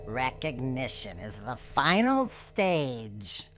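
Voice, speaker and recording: Very low-pitched woman narrating analog horror. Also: sing-song. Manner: slow, deliberate, ominous short phrases. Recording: music behind the voice. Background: loud music.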